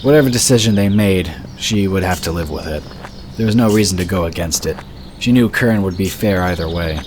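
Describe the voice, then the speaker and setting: A man narrating a fantasy story in a steady, measured storytelling voice. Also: low voice, background noise. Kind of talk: scripted audiobook narration.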